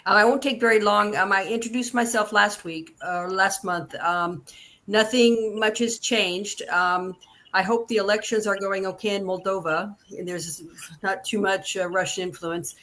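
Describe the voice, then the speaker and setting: A woman's voice: medium pitch, a bit bright. A woman with a mid-range voice takes part in an online discussion. Her talk is casual and unassuming, kept to short remarks. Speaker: discussion participant. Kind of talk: casual self-introduction.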